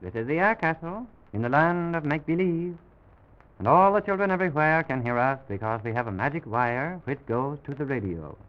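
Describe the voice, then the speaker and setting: A man hosting an old-time children's radio show, speaking gently and simply to small children as he tells a make-believe story. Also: a little high, expressive, brisk. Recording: dull old radio recording with music.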